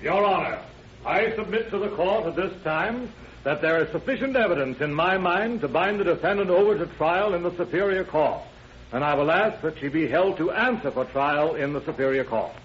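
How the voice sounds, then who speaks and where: A man's voice: a little high, expressive, normal speed, close to an everyday voice. A man playing a formal, methodical district attorney in an old-time radio drama. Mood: assertive. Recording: muffled, noisy vintage radio recording.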